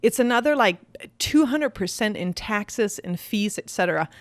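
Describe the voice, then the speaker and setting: Danish woman, podcast guest, mid-pitched, loose chatty delivery full of fillers. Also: expressive, normal speed, no room sound. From Denmark. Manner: laughing, self-deprecating, many fillers. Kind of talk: casual chat.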